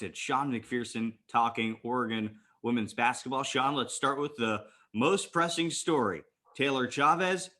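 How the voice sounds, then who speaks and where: Sports interview host, male, mid-range pitch, sharp forceful tone. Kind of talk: prepared radio interview introduction.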